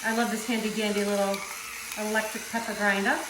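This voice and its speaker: A woman's voice: medium pitch, level tone, fairly quick, reverberant, noisy background. A woman from the Northeast US with a Northeastern accent hosts a cooking channel, chatting casually as she works in her home kitchen. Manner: chatty, upbeat, talks while working.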